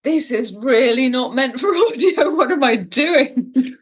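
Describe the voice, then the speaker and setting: A woman's voice: high-pitched, expressive, a little slow, husky. A British woman speaking casually and emphatically as an interview guest, telling stories with self-mocking humour. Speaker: guest, audio drama and TV writer.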